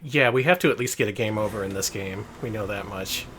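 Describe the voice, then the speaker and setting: A woman's voice: extremely low pitch, fairly quick, a bit bright, slight background noise. A woman co-hosting a retro game stream, giving dry joking commentary. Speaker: stream co-host. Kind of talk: casual game stream banter.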